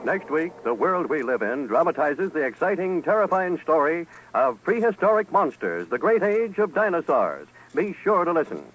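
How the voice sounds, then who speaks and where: A man announces a radio promo in an emphatic, theatrical style. His voice is on the high side and very animated, with music clearly behind it on a dull vintage recording. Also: normal speed, hardly any pauses. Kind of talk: dramatic scripted radio promo. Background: background music.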